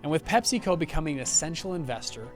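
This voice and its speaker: A man's voice: a little high, animated, fast. A young man, a show host, telling a scripted business story with upbeat, jokey asides. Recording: light background music.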